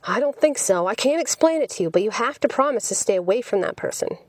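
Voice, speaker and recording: A woman's voice: on the high side, animated, fast, no echo. A woman voicing an anxious, protective young man in an audiobook, with an earnest, pleading manner. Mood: earnest, worried.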